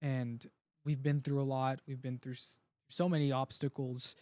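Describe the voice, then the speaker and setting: A man's voice: medium pitch, flat delivery, moderate pace, a little mellow, dry recording. A young man from Puerto Rico, a native Spanish speaker, talking earnestly and from the heart as an interview guest, often restarting his sentences. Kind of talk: casual interview conversation.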